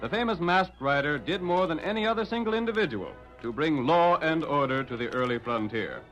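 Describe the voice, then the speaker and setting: An American man announcing a vintage radio western, his voice fairly high and swooping widely. He is theatrical and declamatory, with music behind him. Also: animated, fairly quick, fairly bright tone, highs cut off. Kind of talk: 1940s radio western narration. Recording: vintage radio broadcast recording.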